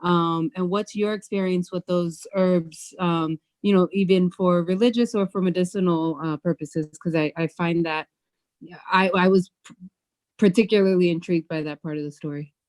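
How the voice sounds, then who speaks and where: A woman's voice: fairly low pitch, steady tone, moderate pace, darkish tone, no room sound. A woman in the audience asking the author a question on a video call, hesitant and full of fillers.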